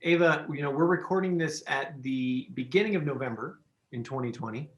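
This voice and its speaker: A man's voice: mid-range voice, brisk, a bit bright, roomy sound. A man hosting a podcast interview, talking casually with enthusiasm and plenty of fillers and restarts. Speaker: podcast host.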